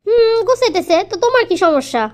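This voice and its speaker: Stubborn young cartoon wife played by a woman, extremely high childlike pitch, fast complaining delivery, Bangladeshi Bengali accent. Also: big pitch swings. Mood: defiant, irritated. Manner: complaining, repeats words. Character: quarrelsome, stubborn young wife and sister-in-law. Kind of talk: cartoon family comedy drama.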